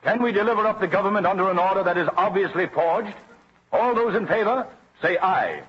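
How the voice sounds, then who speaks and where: An actor playing a dignified colonial governor in an old radio drama, formal and measured. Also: male voice, high voice, expressive. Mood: grave, formal. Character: dignified, deliberate colonial governor presiding over assembly. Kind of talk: 1940s radio acting. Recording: muffled, noisy old radio recording.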